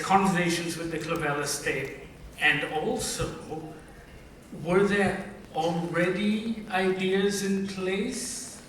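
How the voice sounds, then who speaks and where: A man's voice: fairly high pitch, fairly bright tone. A man from Malaysia casually asking a question from the floor at a press session in a large hall. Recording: very echoey hall, distant, faint noise.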